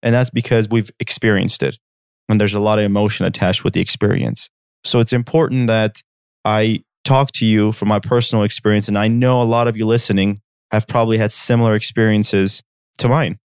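A man's voice: on the low side, fairly even intonation, a little fast, smooth, dry recording, studio quality. A man in his thirties from Armenia, a podcast host telling a personal story in an earnest, direct way and checking in with listeners. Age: 30s.

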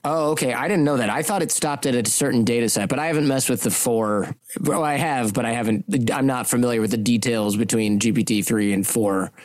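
A man's voice: medium pitch, a little fast, hardly any pauses, no room sound. A man who hosts a podcast, chatting casually in a candid, rambling way with the odd mild curse. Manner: candid, rambling, lightly profane. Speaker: podcast host. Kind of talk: casual chat.

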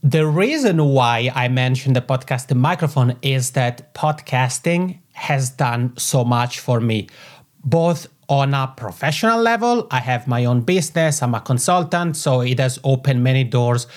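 A man's voice: medium pitch, animated, normal speed. A man in his thirties from the Italian-speaking part of Switzerland, with a Swiss Italian accent, answering as a podcast guest in an eager, expansive way from his home office on a podcasting microphone. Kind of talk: conversational interview answers.

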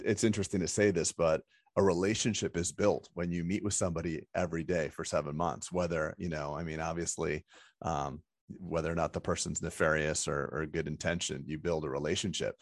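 A man's voice: low-pitched, fairly even intonation, normal speed, dry recording, clean studio sound. A man hosting an interview, asking chatty, curious, rambling questions.

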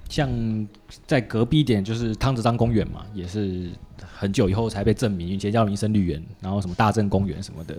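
A man's voice: fairly low pitch, fast, a little mellow. A man with a Taiwanese Mandarin accent hosts a casual podcast interview, feeling his way into questions in a hesitant, roundabout manner.

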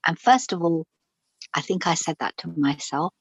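A woman's voice: deep voice, lively intonation, moderate pace, breathy edge, no echo. A middle-aged woman from Pakistan, a guest telling her personal story in an interview, frank and reflective, with many fillers and restarts.

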